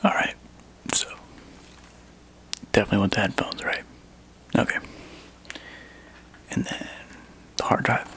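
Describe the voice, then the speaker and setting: A man speaking softly and breathily close to the microphone in an ASMR roleplay, playing a laid-back, wry video game store clerk who chats casually with a customer. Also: a little slow, pauses often. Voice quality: breathy whisper and soft low murmur. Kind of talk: ASMR roleplay, one-sided customer chat.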